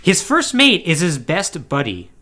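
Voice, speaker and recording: Animated man recapping a story, swinging pitch. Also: unhurried. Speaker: podcast co-host. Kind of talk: casual comedic plot recap, conversational.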